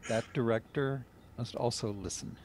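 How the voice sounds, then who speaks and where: An older man, a director, speaking emphatically and deliberately in a panel interview over a noisy video call. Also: mid-range voice. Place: indoor room, on a video call.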